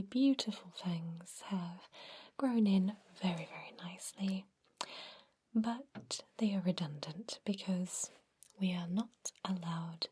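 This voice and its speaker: A woman whispering gently and breathily in an ASMR roleplay as a kindly vampire doctor, explaining things to a patient without hurry. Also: lowish voice, breathy edge.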